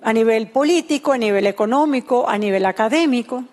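A woman's voice: a little high, fairly quick, darkish tone. A woman interpreting a sermon, delivering it consecutively in short phrases.

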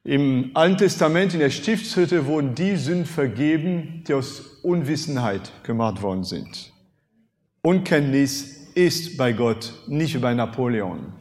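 A man in his fifties, a native French speaker, preaching a sermon with animated rhetorical questions and emphatic swings in loudness. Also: mid-range voice, unhurried. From France. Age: 50s. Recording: long hall reverb.

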